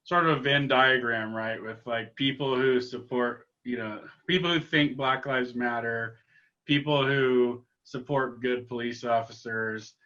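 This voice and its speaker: Easygoing guy interviewer, bright voice, lots of you-knows, kinda muffled lo-fi audio. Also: mid-range voice, a little slow. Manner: relaxed, agreeable, many fillers and short backchannels. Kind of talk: casual interview chat.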